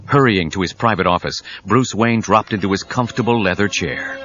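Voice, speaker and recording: An actor narrating a superhero audio drama as a suspenseful storyteller. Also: male voice, on the low side, fairly quick, natural voice. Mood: dramatic, suspenseful. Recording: dull 1990s cassette, music under voice.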